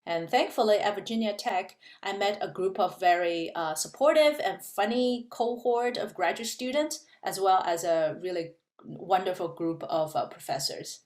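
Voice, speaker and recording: Lady prof chatting, lots of uh's, roomy echo, mid pitch. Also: moderate pace, darkish tone. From China. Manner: thoughtful, explanatory, with many fillers. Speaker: physics professor, guest. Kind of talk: casual interview chat.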